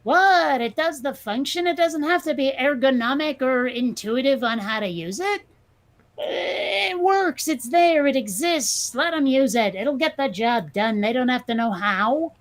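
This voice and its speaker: A woman's voice: high-pitched, animated, measured pace. A woman with a New England accent, co-hosting a casual chat, blunt and sarcastic, swearing freely. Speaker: podcast co-host.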